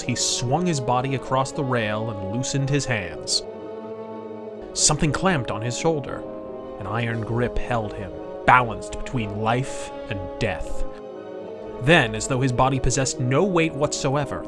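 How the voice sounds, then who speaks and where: A man voicing a grave, brooding pulp mystery storyteller, reading slowly and ominously with deliberate drama. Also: mid-range voice, voice pushed down, lightly acted.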